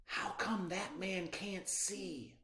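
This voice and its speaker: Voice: mid-range voice, fairly even intonation, takes it slowly, bright, forceful tone, roomy sound, pitched above the performer's own voice. A storybook reader voicing a young grandson who asks childlike, complaining questions. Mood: puzzled.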